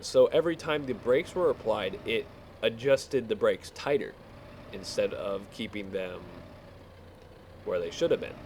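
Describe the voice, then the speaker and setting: A man casually telling a rambling story while playing a game, halting and repeating words as he goes. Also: mid-range voice, slight background noise. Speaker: video game player telling stories.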